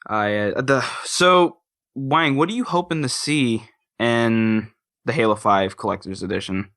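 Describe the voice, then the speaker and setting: Male gaming podcast panelist, bright forceful voice, excitable and stop-start in casual conversation. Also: medium pitch, unhurried.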